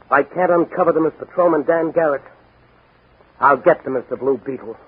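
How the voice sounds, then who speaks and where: A man's voice: fairly high pitch, a little fast, narrow, tinny audio, noisy background, close to an everyday voice. An actor playing a brash, impatient young patrolman in 1940s radio drama style, on an old recording. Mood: grave, determined. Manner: eager, impatient, punchy delivery. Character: brash young rookie patrolman, secret masked crime fighter, eager for action.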